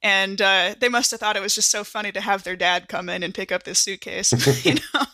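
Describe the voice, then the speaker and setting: A woman's voice: medium pitch, a little fast, sharp tone, dry recording. A woman speaking casually and enthusiastically as a player in a tabletop role-playing game, improvising narration in an informal, chatty way.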